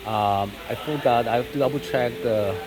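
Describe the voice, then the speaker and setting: A man's voice: mid-range voice, normal speed, over loud music, rough recording. A Korean-born man whose first language is Korean, talking casually to camera with lots of fillers and restarts. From South Korea. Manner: rambling, many fillers and self-corrections. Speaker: vlogger. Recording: steady rain noise in background.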